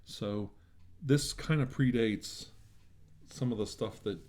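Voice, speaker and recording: A man's voice: medium pitch, slow, darkish tone. A man doing an ASMR roleplay as a medical examiner, talking in a reassuring, attentive bedside manner.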